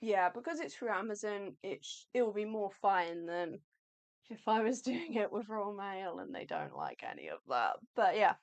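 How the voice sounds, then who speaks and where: A woman co-hosting an art livestream in a chatty, upbeat, rambling way, her voice going slightly hoarse. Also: mid-range voice, very animated, moderate pace. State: losing her voice. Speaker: livestream co-host, artist. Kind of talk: casual live chat.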